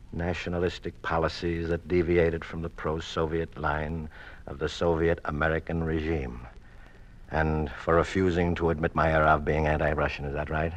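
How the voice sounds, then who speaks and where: An actor playing a weary, wry, defiant imprisoned senator in an old-time radio drama, speaking deliberately and with irony. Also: male voice, extremely low pitch. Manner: deliberate, hesitant, ironic. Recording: muffled old radio recording.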